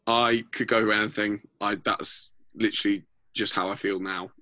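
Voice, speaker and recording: A man co-hosting a music podcast, enthusiastic and sweary, with a slightly rough voice. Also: lowish voice, fairly even intonation, normal speed, sharp tone, no echo. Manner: enthusiastic, sweary, filler-heavy. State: voice a bit rough. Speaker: podcast co-host. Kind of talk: casual music podcast chat.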